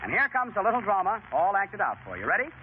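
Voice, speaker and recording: A man's voice: high-pitched, huge pitch swings, fast talker, narrow, tinny audio. A man hosting a 1930s radio quiz with chatty showmanship, heard on a scratchy broadcast.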